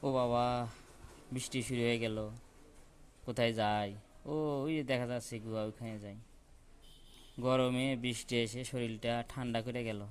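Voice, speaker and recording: Man playing a weary village woodcutter, medium pitch. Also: steady tone, measured pace, long pauses, clean tone, lightly acted. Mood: muttering, uneasy. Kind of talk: children's cartoon dialogue.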